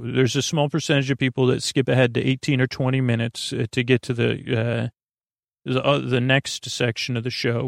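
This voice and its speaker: A man hosting a sleep podcast in a creaky voice, rambling and halting in a goofy, friendly, soothing way, often trailing off mid-sentence. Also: medium pitch, no room sound, studio quality. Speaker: podcast host.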